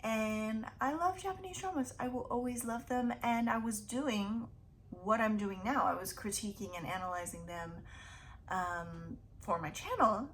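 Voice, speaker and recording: A woman's voice: a little high, unhurried, slight background noise. A woman in her thirties hosting a casual book vlog, chatting in a rambling, self-deprecating way. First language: English. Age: 30s. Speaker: YouTube book channel host. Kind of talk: casual to-camera vlog chat.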